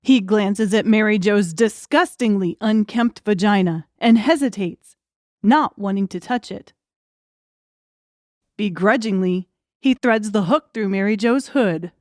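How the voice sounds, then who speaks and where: A woman's voice: medium pitch, a little slow, no room sound, studio quality. A woman reading scripted, dramatic audiobook narration.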